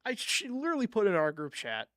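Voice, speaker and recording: Chatty young man, smooth voice. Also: high-pitched, wildly swooping pitch, fast talker, fairly bright tone. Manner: chatty, lots of "like" and fillers, teasing. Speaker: podcast co-host. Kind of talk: casual sports chat.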